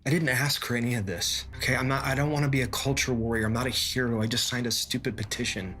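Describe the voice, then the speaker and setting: A man's voice: medium pitch, steady tone, fast, fairly bright tone, faint music behind, lightly acted. An actor playing a beleaguered, defensive small-business family man in a film drama, stammering and exasperated. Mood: overwhelmed.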